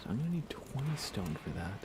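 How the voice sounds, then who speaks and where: A man chatting casually in a soft ASMR gaming-stream voice, often dropping toward a whisper. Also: very animated, a little fast, noisy background. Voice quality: creaky, low. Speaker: livestreaming gamer.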